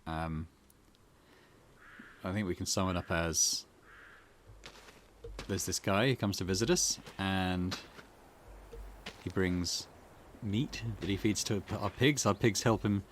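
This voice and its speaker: A man with a fairly low, fry-heavy voice gives casual gaming commentary. He thinks out loud and feels his way through sentences. There is slight noise in the background. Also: a little slow, pauses often. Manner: hesitant, thinking aloud while playing. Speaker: gaming channel host. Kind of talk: casual let's play commentary.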